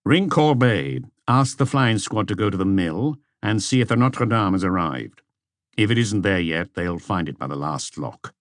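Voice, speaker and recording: A man plays a seasoned police chief in a detective audiobook. He speaks in a low voice, terse and methodical, commanding and businesslike, recorded dry. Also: expressive, normal speed, dry recording. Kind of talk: audiobook character dialogue.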